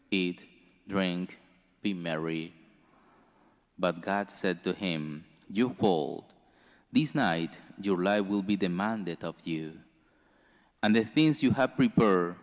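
Deep-voiced priest in a reverberant church. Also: male voice. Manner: slow, measured delivery with careful pauses. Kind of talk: gospel reading and homily. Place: church sanctuary. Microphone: stand mic at a lectern.

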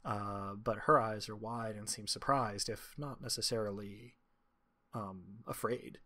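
A man improvising narration as game master, describing scenes in halting, measured phrases. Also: on the low side, bright, forceful tone.

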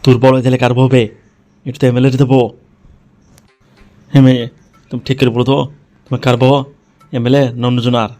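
A man's voice: medium pitch, completely flat delivery, fast talker, long pauses, dark tone, close to an everyday voice. A man playing a threatening, foul-mouthed gangster kidnapper in an amateur comedy cartoon, blunt and bullying. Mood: bossy.

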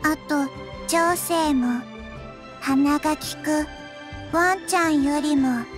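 A small, sincere undead girl in an anime game. She talks in a high, childlike voice, very slowly and haltingly, with a deadpan air. Music is clearly heard underneath. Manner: halting, deadpan, short phrases. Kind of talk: Japanese anime game voice acting.

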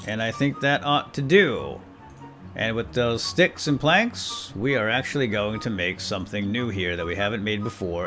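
A man's voice: mid-range voice, bright, forceful tone. A man hosting a let's play with casual, folksy, chatty commentary and light role-play, talking directly to viewers.

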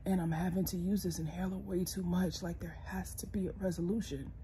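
A young woman lying in bed and talking casually to her phone camera, congested and hoarse, tired and soft-spoken, sometimes dropping to a whisper. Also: on the low side, fairly even intonation, a little fast, slight background noise. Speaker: vlogger. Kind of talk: casual personal vlog. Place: bedroom, lying in bed indoors. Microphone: phone camera close, held low.

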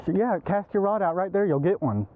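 A young American man chatting casually and excitedly in an informal, slangy way, like an angler vlogging outdoors. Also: high-pitched, fairly quick. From East Texas, United States. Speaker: angler filming a fishing video. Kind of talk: casual outdoor vlog chat. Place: outdoors at a swampy pond bank. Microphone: body-worn action camera. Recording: muffled narrow-band action-camera audio, outdoor noise.

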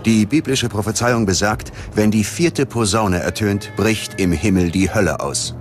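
A man with a fairly low voice delivers scripted, dramatic documentary narration. Prominent music plays behind him. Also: measured pace.

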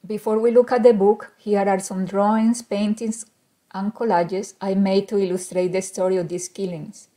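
A woman's voice: medium pitch, level tone, normal speed, dark tone. A middle-aged artist from Colombia, a woman with a Colombian accent and native Spanish, explaining her work in a recorded presentation.

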